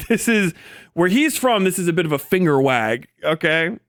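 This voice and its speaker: Mocking man with a clear, higher-pitched voice. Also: animated, normal speed, a bit bright, no echo, clean studio sound. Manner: mocking, profane, amused. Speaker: streamer reacting to a recorded debate clip. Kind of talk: casual sarcastic live commentary.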